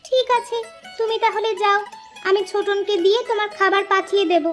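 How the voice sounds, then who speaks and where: Cartoon village wife and mother, acted by a woman, piercingly high voice, affectionate scolding, music behind. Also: fairly even intonation, brisk. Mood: fussing, caring. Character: village housewife and mother, caring, teasing, quick to argue. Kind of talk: Bengali cartoon dialogue acting.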